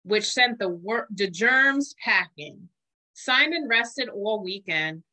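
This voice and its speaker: A woman's voice: medium pitch, lively intonation, slow pace, bright, forceful tone, rough recording. A middle-aged American woman reading a children's picture book aloud as a storytime reader. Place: small room indoors. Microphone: webcam video call.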